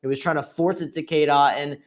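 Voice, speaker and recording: A man's voice: on the high side, steady tone, brisk. A man giving informal, conversational analysis as co-commentator on an amateur live football broadcast.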